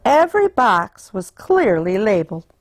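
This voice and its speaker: A woman reading a children's picture book aloud in an animated, deliberate storytelling manner. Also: mid-range voice, a little hiss or hum. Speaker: storytime reader.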